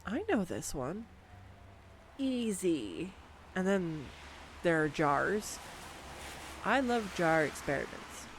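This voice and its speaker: A voice actress performing a scripted girlfriend roleplay, bubbly and playful as she gushes excitedly over facts at a home mic. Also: lowish voice, slow, pauses often, a little hiss or hum. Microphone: studio condenser mic.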